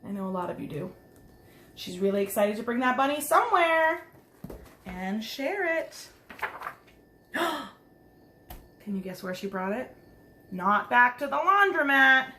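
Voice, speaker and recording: A woman's voice: fairly high pitch, very animated, unhurried, pauses often, sharp tone. A woman preschool teacher reading a picture book aloud to children, expressively, with chatty asides and simple explanations. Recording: roomy, echoing sound.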